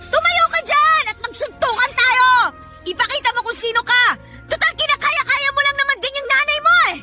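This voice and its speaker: A woman's voice: extremely high pitch, moderate pace, music in the background. A woman playing a feisty, protective young coworker in a Tagalog radio drama, combative and challenging. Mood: fierce, taunting.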